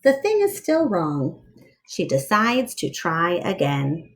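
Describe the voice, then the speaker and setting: A woman reading a children's picture book aloud expressively, with deliberate, dramatic phrasing and asides to young listeners. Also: lowish voice, huge pitch swings, rough recording. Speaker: read-aloud storyteller.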